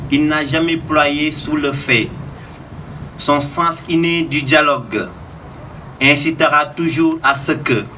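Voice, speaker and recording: A man reciting a poem of tribute in a solemn, oratorical manner with measured phrasing. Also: on the high side, pushed and bright. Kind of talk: declaimed poetry recital. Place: live recital at a public tribute event. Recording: distant microphone with faint noise.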